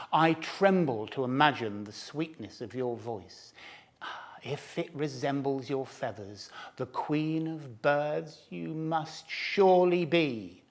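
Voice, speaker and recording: Sly storybook fox played by a man, gushing flattery, swooping lively pitch, slow and drawn out. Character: charming sly male fox flattering a bird.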